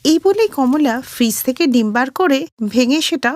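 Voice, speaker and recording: High, animated woman storyteller. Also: normal speed, no room sound. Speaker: narrator. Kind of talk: scripted cartoon story narration.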